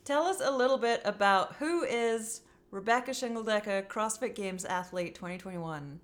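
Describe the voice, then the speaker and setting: A woman's voice: medium pitch, moderate pace. Bubbly rambling woman podcast host. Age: 40s. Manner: bubbly, rambling, many fillers. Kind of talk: casual podcast chat.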